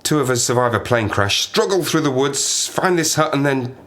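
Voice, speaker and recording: Man acting a wry, rambling stranded survivor, animated. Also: mid-range voice, normal speed, natural voice. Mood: resigned, bleak. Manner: rambling, self-mocking, sardonic, talks to himself. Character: stranded plane-crash survivor, wry, lonely, rambling, half-mad. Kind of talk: audio drama monologue, diary-like.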